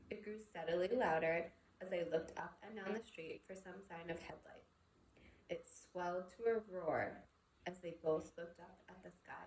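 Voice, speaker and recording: A woman reading a novel aloud as expressive scripted storybook narration. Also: on the low side, vocal fry. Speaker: storybook reader. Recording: echoey room with background noise.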